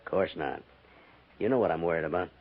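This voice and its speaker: A man's voice: low-pitched, fast talker. A man playing a worried, resigned cavalry major in a 1950s radio western, speaking with terse authority. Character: worried, resigned male army major, frontier fort commander. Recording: muffled, faintly noisy vintage radio recording.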